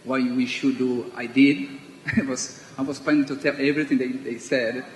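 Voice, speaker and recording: A man speaks earnestly on a panel, and his voice sinks softer at the ends of phrases. The room is echoey with a long tail. There is faint background noise. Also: mid-range voice, level tone, normal speed. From Brazil. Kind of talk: personal story at a panel.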